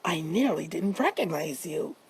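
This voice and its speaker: Casting: loyal storybook engine driver, chummy and matter-of-fact, slightly husky; a woman reads him. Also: deep voice, lively intonation, normal speed, exaggerated voice. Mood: admiring. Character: loyal, plain-spoken male engine driver.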